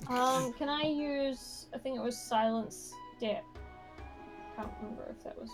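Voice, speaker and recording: A woman's voice: sits high, measured pace. A woman chatting casually as a tabletop game player, tentative and questioning. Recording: clear music behind the voice.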